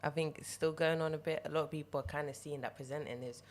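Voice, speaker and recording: A young woman with a deep voice, a radio presenter chatting casually as a podcast guest, animated and quick to laugh. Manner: animated, laughs often, drops into whispers. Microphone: headset.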